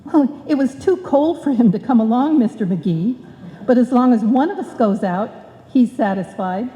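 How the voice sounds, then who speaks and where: In a muffled, echoey hall, a woman puts on a haughty society matron for vintage radio comedy. The voice is fairly high and mellow, with formal, refined, airy delivery. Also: expressive, moderate pace, lightly acted. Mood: airy, polite. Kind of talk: old-time radio comedy acting before a live audience.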